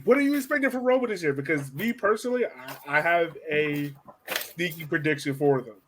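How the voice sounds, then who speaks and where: Slightly high-voiced man, casual sports chat. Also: expressive, a little fast, fairly bright tone, a little hiss or hum. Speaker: sports podcast co-host.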